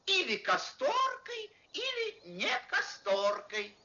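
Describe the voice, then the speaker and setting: Boastful cartoon insect healer: extremely animated swoops, drawn-out sing-song, dull noisy vintage sound. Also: very high-pitched, full character voice. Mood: sly, oracular. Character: eccentric praying mantis quack healer, boastful. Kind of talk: theatrical animated film voice acting.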